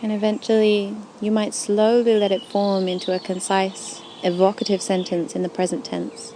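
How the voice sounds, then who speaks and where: A woman guiding a yoga nidra meditation in a soothing, unhurried way with gentle, deliberate phrasing, recorded outdoors. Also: fairly low pitch, a little hiss or hum. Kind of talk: guided meditation. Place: outdoors in a forest at dusk. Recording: outdoor recording with faint birdsong. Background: birdsong and forest ambience.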